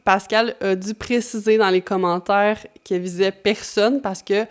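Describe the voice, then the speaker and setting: Chatty Québécoise YouTuber. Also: female voice, medium pitch, fairly even intonation, unhurried, clean tone. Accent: Québécois French. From Québec. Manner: chatty, reflective, frequent fillers. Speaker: YouTube host. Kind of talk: talking-to-camera commentary.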